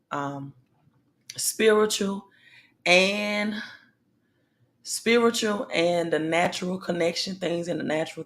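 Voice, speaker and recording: A woman with a slightly raspy, lowish voice hosts a casual livestream, speaking directly to her viewers. She talks very slowly, pausing often and leaning on fillers as she works through the news. Her delivery is halting and conversational rather than scripted. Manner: slow, halting delivery with many pauses and fillers. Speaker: livestream host.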